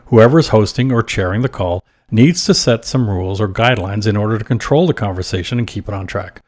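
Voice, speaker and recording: A man hosting a short advice podcast, reading scripted tips in a measured, instructional manner. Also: lowish voice, a little mellow.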